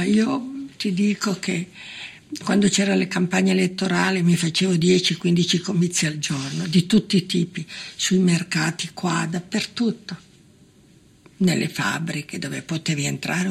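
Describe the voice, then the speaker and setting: A woman's voice: lowish voice, a little slow, vocal fry, breathy edge. An older woman recalling political life in a colloquial, emphatic way. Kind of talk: spoken recollection. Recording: interview under loud guitar music. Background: guitar music.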